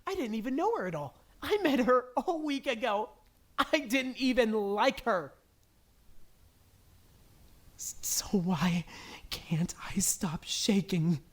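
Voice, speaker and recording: A woman voicing a distraught, defensive teenage boy in an animated drama, stammering and emotionally raw. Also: a little high, takes it slowly. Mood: upset, indignant. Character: distraught, defensive teenage boy, guilt-ridden and foul-mouthed. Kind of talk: dramatic voice acting in a fan-made animation.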